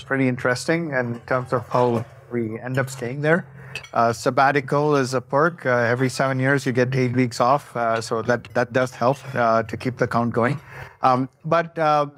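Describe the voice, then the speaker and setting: A man's voice: medium pitch, a bit bright, slight background noise. A man in his fifties speaking off the cuff as an executive on a conference panel. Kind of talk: unscripted panel introduction. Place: on stage at a conference panel in a curtained room.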